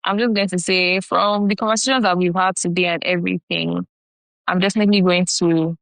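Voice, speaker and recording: A young Nigerian woman with a Nigerian accent, co-hosting a podcast, talking haltingly and hedging as she searches for words. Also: on the low side, fairly even intonation, gravelly, fairly bright tone, no echo, rough recording. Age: young adult. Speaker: podcast co-host. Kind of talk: casual chat.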